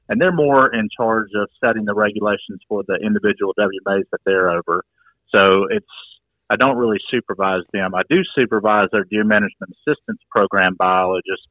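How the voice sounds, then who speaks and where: A man's voice: fairly low pitch, no room sound. Man explaining over a tinny phone line. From Georgia, USA. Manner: measured, matter-of-fact explainer. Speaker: wildlife biologist guest. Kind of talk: explanatory interview answers.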